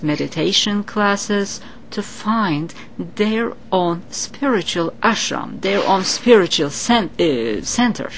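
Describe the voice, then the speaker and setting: A woman with a Turkish accent answers interview questions in a slow, earnest way. Her voice sits a little low, and her English has non-native grammar. Faint noise runs under the recording. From Turkey. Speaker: psychic guest.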